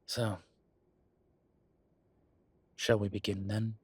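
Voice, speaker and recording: Man playing Deacon, husky brooding vampire. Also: fairly low pitch, level tone, a little mellow, no room sound, heavily acted. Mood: resolute, proud. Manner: weary, deliberate, brooding. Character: Deacon, brooding proud male vampire, burdened father. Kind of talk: dramatic audio roleplay acting.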